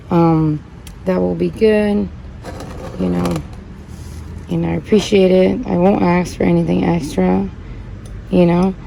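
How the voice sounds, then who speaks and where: A woman hosts a plant channel and chats loosely about repotting cacti, with plenty of fillers. Her voice is low-pitched and mellow with a gravelly fry. Faint outdoor sounds and passing vehicles are heard behind her. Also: a little hiss or hum, low-quality recording. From born in Puerto Rico, grew up in Connecticut. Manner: rambling, chatty, many fillers. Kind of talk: casual chat while repotting cacti.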